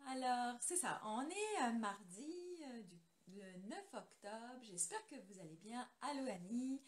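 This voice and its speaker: A woman's voice: fairly high pitch, animated, unhurried. A woman from France hosting a live craft tutorial from her home studio, chatting loosely and greeting viewers by name. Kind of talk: casual live tutorial chat. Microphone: computer camera mic.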